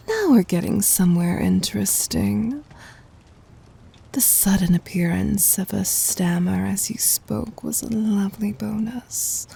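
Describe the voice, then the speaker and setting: Woman acting a slow, husky, commanding demon queen. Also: medium pitch, dark tone, exaggerated voice. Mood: teasing, amused. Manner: imperious, teasing, deliberate, often breathy near-whisper. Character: commanding, possessive, tender demon queen doting on her lover. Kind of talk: ASMR roleplay.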